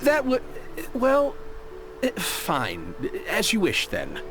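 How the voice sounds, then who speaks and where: An actor playing a nervous, ingratiating gemstone merchant in a video game, with a hesitant delivery. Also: male voice, high-pitched, huge pitch swings, slow, noisy background, full character voice. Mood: flustered.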